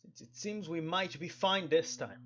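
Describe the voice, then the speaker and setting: A man's voice: fairly high pitch, a little slow, a bit bright, highs cut off. A man giving casual, rambling livestream commentary on a game, often correcting himself as he talks into a headset microphone. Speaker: game streamer.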